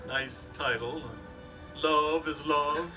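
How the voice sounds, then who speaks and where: A man acts out a middle-aged, put-upon suitor from a 1940s-style radio comedy. His voice sits fairly high, hesitant and drily skeptical. He is somewhat distant from the mic, with music clearly behind him. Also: steady tone. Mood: droll, hesitant. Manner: hesitant, hemming with drawn-out uhs.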